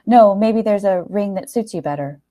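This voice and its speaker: A woman's voice: medium pitch, moderate pace, warm tone, pitched above the performer's own voice, close to an everyday voice. A woman voicing an evasive groom, smug yet hesitant and placating when cornered.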